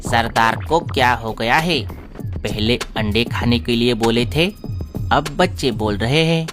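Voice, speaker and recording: A man's voice: medium pitch, a little slow, fairly bright tone, soft background music, natural voice. A man voicing an eager, impatient predator bird henchman in a children's cartoon, exclamatory and pleading. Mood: grumbling.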